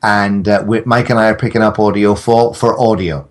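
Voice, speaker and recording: A man with a fairly low voice. He talks in casual comic banter, wordy and mock-grandiose, as a guest on a podcast. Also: fairly even intonation, normal speed, a little hiss or hum. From England. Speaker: podcast guest, audio drama maker.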